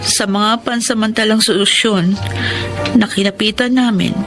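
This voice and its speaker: A woman acts a conscientious orphaned big sister in a dramatic radio play. Her voice sits at a medium pitch, earnest and weary, with prominent music underneath. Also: steady tone, unhurried, close to an everyday voice. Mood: sorrowful. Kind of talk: dramatic Filipino radio drama narration and dialogue.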